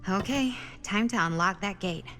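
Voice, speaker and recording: A woman's voice: medium pitch, close to an everyday voice. A woman playing a wry young explorer in a video game, muttering dry jokes to herself. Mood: wry musing. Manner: slow, dry, sardonic self-talk. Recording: music behind.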